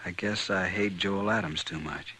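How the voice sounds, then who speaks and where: An actor playing a polite, soft-spoken stranger set on revenge in a 1950s radio western. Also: male voice, low voice, moderate pace. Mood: quiet resolve. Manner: soft-spoken, courteous, resolute. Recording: faintly noisy old radio recording.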